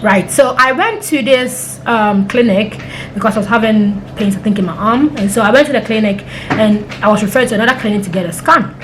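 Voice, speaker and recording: A woman's voice: medium pitch, a little fast, background noise. A young woman from Ghana, chatting and rambling through stories to her camera. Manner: chatty, rambling storytelling with acted-out quotes. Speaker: vlogger. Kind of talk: casual chat to camera.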